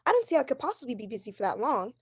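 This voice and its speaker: A woman's voice: fairly high pitch, sing-song, rapid-fire, slightly rough, dry recording, clean studio sound. A woman playing a neurotic, fretful invalid housewife in a 1940s radio drama, anxious and insistent.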